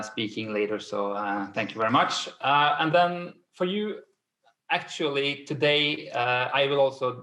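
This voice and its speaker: Halting Scandinavian man with creaky voice. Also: medium pitch, bright, forceful tone. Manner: halting, hesitant delivery with repeated phrases. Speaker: host and business manager. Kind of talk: informal webinar introduction. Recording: echoey room on video call.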